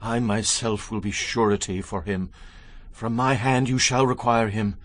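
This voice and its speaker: An actor playing an earnest, anxious grown Hebrew son who pleads urgently in a dramatized scripture production. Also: male voice, on the low side, fairly even intonation, measured pace, fairly bright tone. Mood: earnest, pleading. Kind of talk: dramatized scripture audio acting.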